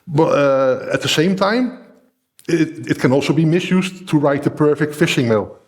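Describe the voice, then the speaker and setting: A man speaking English with a Dutch accent, his voice sitting a little high for a man. He talks things through in an easy conversational way, building his points with everyday examples and filling pauses with "uh". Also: animated. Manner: thoughtful, explains with examples, frequent fillers. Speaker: cybersecurity researcher guest. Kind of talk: conversational discussion.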